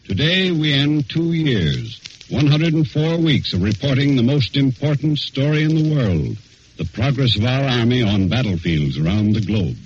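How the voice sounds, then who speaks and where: Male American 1940s radio announcer, declamatory with sweeping pitch, dull old broadcast sound with slight noise. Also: big pitch swings, normal speed. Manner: formal, declamatory.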